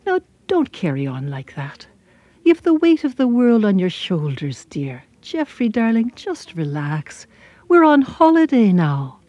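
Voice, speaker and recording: A woman's voice: mid-range voice, sing-song, unhurried, mellow, dry recording, muffled recording. A woman storyteller voicing a tender young mother, coaxing and soothing in manner.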